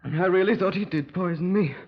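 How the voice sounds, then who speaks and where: A man's voice: high voice, a little fast. An actor playing an ailing, querulous man who grumbles fearfully with labored delivery in an old radio drama. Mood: anxious, bitter. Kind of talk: 1950s radio drama acting. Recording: muffled old 1950s radio recording.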